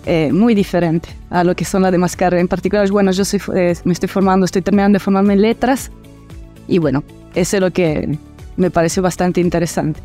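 A university teacher from Italy answers questions in an interview, her coloring each phrase. She speaks haltingly, pausing often on filler sounds. Her voice has a warm, mellow tone at an everyday pitch for a woman. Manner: hesitant, many filler sounds.

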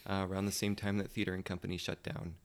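A man speaking as a guest in a casual panel discussion, thoughtful and often pausing to search for words. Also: low voice, little pitch movement.

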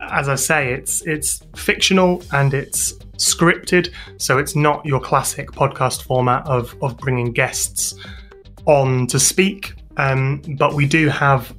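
A man's voice: medium pitch, fairly bright tone. British man explaining, music behind. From United Kingdom. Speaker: guest, podcast sound designer and composer. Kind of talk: interview answers, explanatory talk.

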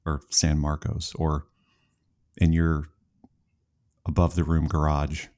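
A man's voice: a bass voice, completely flat delivery, slow pace, darkish tone. A man hosting a podcast interview, talking casually in long rambling asides before getting to his questions. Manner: rambling, talkative, self-aware. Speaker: podcast host.

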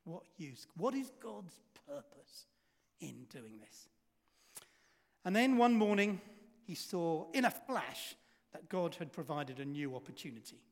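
Lively man preaching in a reverberant hall. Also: fairly high pitch, unhurried, gravelly. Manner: storytelling with rhetorical questions, dynamic volume swings. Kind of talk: sermon.

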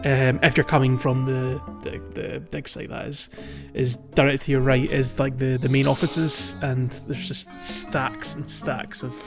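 A man co-hosting a gaming podcast, chatting casually and haltingly as he gathers his thoughts. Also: mid-range voice, flat delivery, music in the background. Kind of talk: casual chat about a video game.

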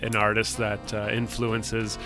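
A man's voice: lowish voice, monotone, a little slow, over music. A Canadian man co-hosting in a casual chat, speaking hesitantly and often repeating his words. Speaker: sound man and co-host.

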